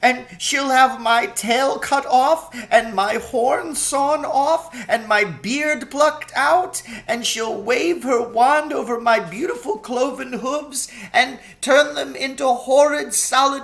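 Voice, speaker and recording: A timid, kind storybook faun, pleads in a voice pitched far up. He is weepy and full of guilt, stammering through his anguish. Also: takes it slowly, vocal fry, few pauses, fairly bright tone, heavily acted. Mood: tearful, panicked.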